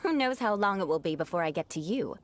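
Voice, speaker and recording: An actress playing a proud young aristocrat in a video game, haughty and formal, with precise wording. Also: female voice, fairly low pitch, lively intonation, fast talker, close to an everyday voice. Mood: composed, haughty. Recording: game music behind the voice. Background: music.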